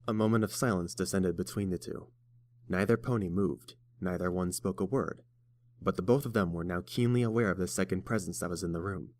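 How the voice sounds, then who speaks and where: Low-voiced man narrating a story. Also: a little fast, a little mellow, no room sound.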